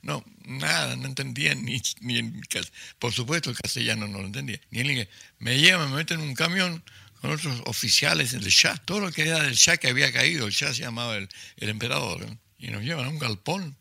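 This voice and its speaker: An older writer tells stories in an interview with a gravelly, husky voice. His delivery is forceful and tense, and he often restarts sentences and acts out what people said. The recording is dry and close. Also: medium pitch, fairly quick, dry recording. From Chile. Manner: vivid storyteller, acts out quotes, frequent restarts. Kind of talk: storytelling in an interview.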